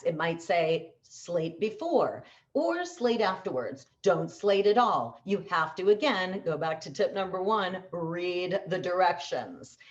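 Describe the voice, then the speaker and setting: A woman with a slightly low voice gives practical tips like a coach. She speaks conversationally but emphatically, repeating key words and posing questions to the listener. The recording sounds a little dull and lo-fi. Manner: conversational, asks rhetorical questions. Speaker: voice-over coach. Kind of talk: instructional talk with tips.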